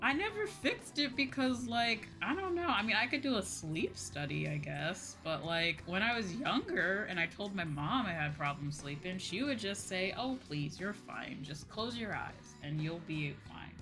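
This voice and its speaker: A woman's voice: mid-range voice, moderate pace, few pauses. A young woman chatting casually and playfully with viewers on a livestream as a game streamer. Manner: animated, playful, conversational. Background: music.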